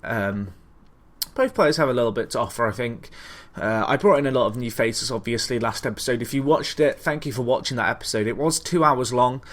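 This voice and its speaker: A man's voice: medium pitch, a little fast, a bit bright. Chatty man doing casual gaming commentary. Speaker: gaming video host. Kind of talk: casual Let's Play commentary on a football management game.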